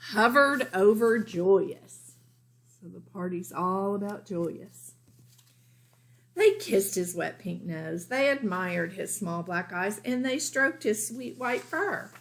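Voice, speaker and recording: Slow-paced woman reading a storybook. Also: mid-range voice, gravelly. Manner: expressive, explains to young listeners. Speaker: teacher reading aloud. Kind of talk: children's storybook narration.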